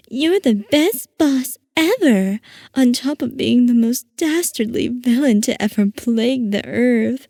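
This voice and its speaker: A woman's voice: on the high side, vocal fry, mellow, no room sound, studio quality. A woman playing a playful, theatrical mad-scientist villain boss in a roleplay audio, coy and mock-innocent as she teases. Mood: gushing, mocking. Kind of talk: scripted roleplay audio, ASMR-style character acting.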